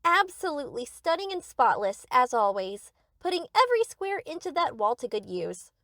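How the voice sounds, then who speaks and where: Woman acting a high, animated, doting yandere girl. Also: normal speed, no echo, studio quality, heavily acted. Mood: eager. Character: sweet, eager, obsessive yandere best friend, young. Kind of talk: anime-style ASMR audio roleplay.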